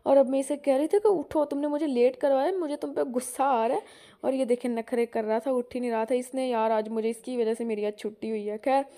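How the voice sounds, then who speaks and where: A woman's voice: high voice, warm tone. Chatty young woman with a lilt. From Pakistan. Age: young adult. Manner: chatty, rambling, frequent "jo hai na" fillers, laughs often. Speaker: vlogger. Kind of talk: casual walking vlog narration. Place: outdoors on village roads, then at home. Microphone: phone camera held while walking.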